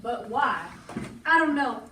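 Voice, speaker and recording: A woman's voice: high-pitched, big pitch swings, slow, bright, forceful tone, a little hiss or hum, heavily acted. A woman acting a curious, gossipy frontier sister in an amateur stage play. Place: indoor school stage. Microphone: distant audience camera. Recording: echoey school stage from far off.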